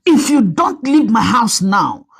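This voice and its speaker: A woman's voice: a little high, big pitch swings, slow, gravelly, breathy edge, pitched above the performer's own voice, exaggerated voice. A woman voicing a proud, irritable rich mother in a story, curt and haughty. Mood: cold, angry.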